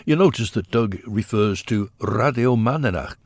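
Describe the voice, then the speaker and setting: An older man narrating a documentary, looking back on past events in a measured, reflective way. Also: fairly low pitch, lively intonation, creaky, background noise.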